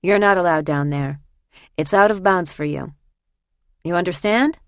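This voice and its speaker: A woman's voice: medium pitch, lively intonation, measured pace, dry recording, dull audio, voice pushed up, natural voice. Woman voicing a brusque, no-nonsense prison inmate. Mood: brisk, firm. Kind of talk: audiobook character dialogue.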